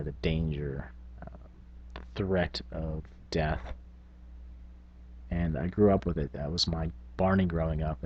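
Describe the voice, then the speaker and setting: A man's voice: low-pitched, breathy edge, dull audio. A man talking casually and at length as the host of a tabletop gaming video. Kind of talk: casual rambling talk.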